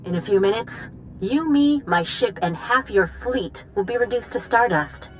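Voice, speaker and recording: A woman playing a cool, resolute starship captain in a video game, defiant and steady in a military way. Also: mid-range voice, normal speed, soft background music, close to an everyday voice, low-quality recording. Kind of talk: video game cutscene acting.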